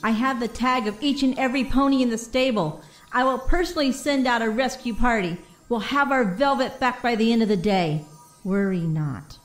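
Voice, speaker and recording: A woman playing an authoritative pony mare who rules an underground shelter, speaking deliberately in an audio drama. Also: natural voice. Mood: commanding, reassuring. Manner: booming, authoritative, deliberate delivery.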